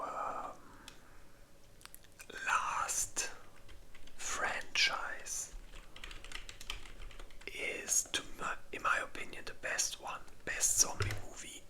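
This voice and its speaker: An ASMR host speaking entirely in a breathy whisper, rambling informally through a casual review and often searching for words. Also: bright, forceful tone.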